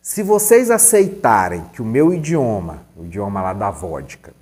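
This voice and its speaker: A man's voice: mid-range voice, a little mellow. A man giving a recorded online lesson, chatty and conversational, asking questions and answering them himself. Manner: conversational, asks and answers his own questions. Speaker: teacher.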